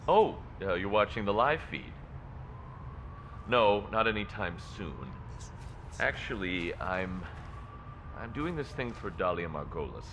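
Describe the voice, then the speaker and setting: Evasive model dude in a video game, offhand, low voice that moves around a lot. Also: male voice, background noise, exaggerated voice. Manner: hesitant, offhand.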